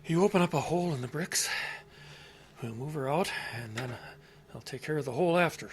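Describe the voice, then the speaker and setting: An actor playing a weary, guilt-ridden old man in a radio drama, halting and muttering as he repeats his words. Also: fairly high pitch, natural voice. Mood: grim, guarded. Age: older. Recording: faint background noise.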